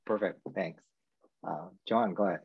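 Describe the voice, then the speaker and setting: A man moderating a video panel, handing over with brief, low-key prompts. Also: moderate pace, gravelly, husky, dry recording. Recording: dull, dry call audio.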